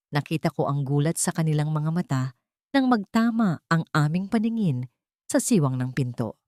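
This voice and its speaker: Low-voiced woman narrating a dramatic story. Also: mellow, no room sound, studio quality. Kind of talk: dramatic first-person scripted narration of a romance story.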